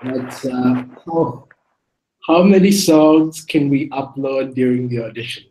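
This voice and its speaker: Man from sri lanka, entrant, halting, joking, mid pitch. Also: warm tone, a little hiss or hum, poor audio. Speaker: contest entrant.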